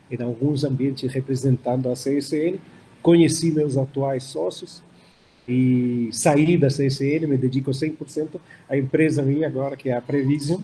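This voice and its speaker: Older Chilean-accented man, mellow voice. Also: on the high side, a little hiss or hum, poor audio. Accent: Chilean Spanish. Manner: unhurried, many fillers and restarts. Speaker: startup founder panelist. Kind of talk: hybrid panel talk.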